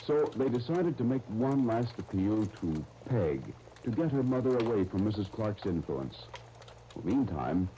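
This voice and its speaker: A man announcing and narrating in scripted 1930s radio style, with a polished, measured delivery. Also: mid-range voice, dark tone. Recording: muffled, noisy 1939 radio disc recording.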